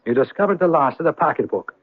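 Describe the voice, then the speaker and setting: Teasing police sergeant, swooping pitch. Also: male voice, animated, quick pace, husky, no echo. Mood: probing, puzzled. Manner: mock-official, wry, questioning. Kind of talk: old-time radio drama acting. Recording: dry vintage radio recording, muffled.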